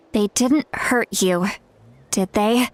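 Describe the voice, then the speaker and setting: A woman's voice: mid-range voice, vocal fry. A woman playing a youthful but elder guardian in a video game, scolding and lecturing with exasperated, caring sarcasm. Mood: concerned, stern. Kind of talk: video game character acting.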